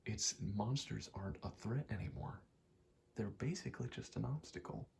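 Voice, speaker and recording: A soft-spoken man rambling casually in ASMR style, meandering and murmuring, often dropping toward a whisper. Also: fairly low pitch, lively intonation, moderate pace, gravelly. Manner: meandering, self-correcting, casually sweary. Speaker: video maker rambling over gameplay. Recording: faint background noise.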